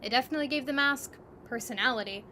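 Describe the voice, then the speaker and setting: A woman's voice: high-pitched, fast, bright, forceful tone, slight background noise. A woman narrating a scripted second-person story as the narrator.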